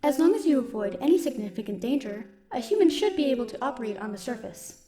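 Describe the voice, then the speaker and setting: A woman playing a stoic, dutiful military robot in an audio roleplay, speaking in a formal, measured, protective way. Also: high voice, sing-song. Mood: factual, measured. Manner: formal, measured, literal wording. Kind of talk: scripted audio roleplay, speaking softly to one listener.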